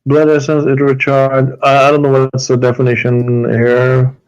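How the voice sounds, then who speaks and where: A man of middle pitch speaks haltingly, stacking restarts while he argues. He often stops mid-sentence and begins again. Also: completely flat delivery, a little mellow, smooth, dull audio. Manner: hesitant, many fillers and restarts. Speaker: debate guest. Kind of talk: heated online debate.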